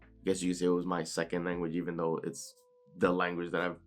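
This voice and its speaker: A man's voice: monotone, quick pace. A young man with a New York accent giving an unscripted motivational talk from his bedroom, casual, often tagging "right?" and sometimes stuttering. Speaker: motivational YouTuber. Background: low background music.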